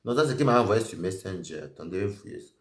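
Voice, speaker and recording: A man ranting on a live stream, accusing someone directly. He repeats himself and swings between shouting and muttering. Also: low voice, expressive, fast, echoey room. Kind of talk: heated live-stream rant.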